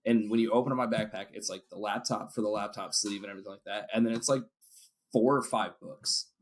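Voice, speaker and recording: A man's voice: fairly low pitch, fairly even intonation, quick pace. A man, a guest band member, joking and bragging in a casual podcast chat.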